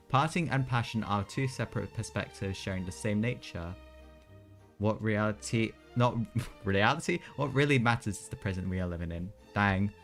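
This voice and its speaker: A man's voice: fairly low pitch, fairly bright tone. A man giving casual, slangy live commentary as he streams a video game.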